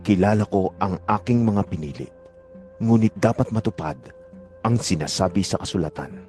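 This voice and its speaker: Guy playing a solemn male teacher in a bible audio drama, low mellow voice, lots of rise and fall, earnest. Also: lively intonation, moderate pace, faint music behind. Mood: earnest teaching.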